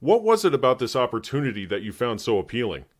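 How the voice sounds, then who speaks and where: A man's voice: mid-range voice, big pitch swings, fast talker. An American man hosting a conversational sports interview, chatty, with long lead-ins to his questions. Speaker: interviewer, host.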